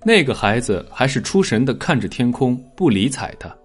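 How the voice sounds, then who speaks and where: Male narrator, scripted story, animated intonation, husky tone, measured expressive delivery. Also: mid-range voice, soft background music. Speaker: audiobook narrator.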